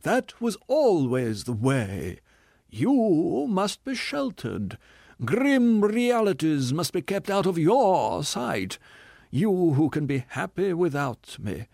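Self-pitying theatrical ghost, loud and soaring, read by a man, dry close sound. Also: sits high, creaky, no echo, pitched above the performer's own voice, heavily acted. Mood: shouting. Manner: declaiming, shouting, drawn-out words. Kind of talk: audiobook character reading.

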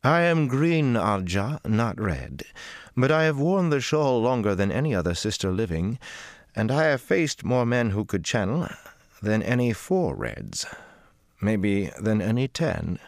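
A man voicing an older woman sorceress who speaks slowly, in a measured and unshakably composed way. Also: fairly low pitch, big pitch swings, no echo, studio quality, pitched above the performer's own voice. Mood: calm.

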